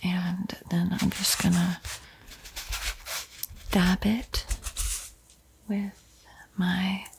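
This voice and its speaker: A woman whispering an ASMR painting tutorial in a breathy, soothing, gently encouraging way. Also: on the low side, little pitch movement, very slow, pauses often, a little hiss or hum. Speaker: art tutorial host.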